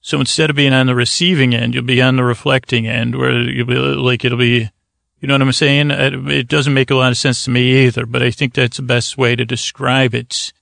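A tired man rambles through a bedtime podcast in a gravelly, creaky voice. He wanders hesitantly from one half-finished thought to the next with little swing in pitch. The sound is close and dry. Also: flat delivery, brisk, a bit bright, dry recording. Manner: meandering, hesitant, trailing off mid-thought. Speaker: podcast host.

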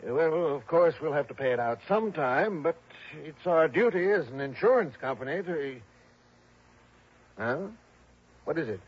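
A man's voice: a little high, expressive, normal speed, husky. An actor playing a hesitant, deferential male insurance official who stammers apologetically in a 1960s radio drama. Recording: dull, slightly noisy old radio recording.

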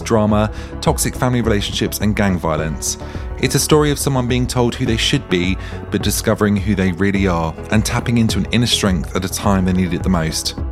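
A man hosting a podcast, enthusiastic and chatty as he introduces and interviews a guest. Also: on the low side. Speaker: podcast host.

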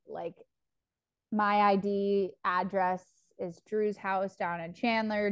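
A young woman in her twenties who rambles through a story, heavy on "like" and casual swearing. Her voice sits at a middle pitch for a woman, and the recording is dry and close, with no room around it. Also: no room sound. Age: 20s. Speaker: podcast co-host. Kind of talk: casual chat.

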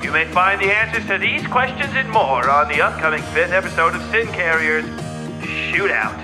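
A high-voiced man reads a dramatic teaser for a fiction podcast. His scripted delivery is suspenseful, and guitar music plays clearly behind him. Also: animated, moderate pace, low-quality recording. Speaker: podcast announcer. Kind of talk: scripted next-episode teaser.

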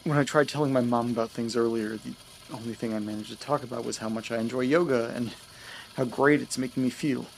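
A man's voice: medium pitch, steady tone, fairly quick, vocal fry, a little hiss or hum, natural voice, poor audio. A man playing a stammering, nervous young man. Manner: stammering, hesitant, hushed. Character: anxious young man haunted by memory loss. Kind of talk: audio drama acting.

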